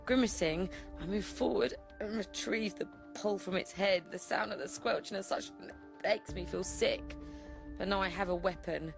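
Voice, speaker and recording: An actress playing a professional career woman surviving an apocalypse, narrating an audio drama in a deliberate, theatrical way. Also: medium pitch, vocal fry, natural voice. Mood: grim. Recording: voice over loud music bed. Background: music.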